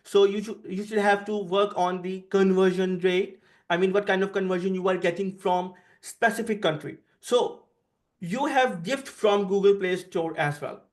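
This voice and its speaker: A man with a high-pitched voice speaks as a panelist, explaining in non-native English. His delivery is halting and repetitive, and he often rewords his phrases as he goes. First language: not English. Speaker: panelist, app marketing specialist.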